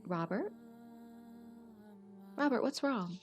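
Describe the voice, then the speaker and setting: A woman acting in a radio play as a concerned, steady federal agent, questioning and reassuring. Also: a little high, slow, muffled recording, soft background music. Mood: defensive.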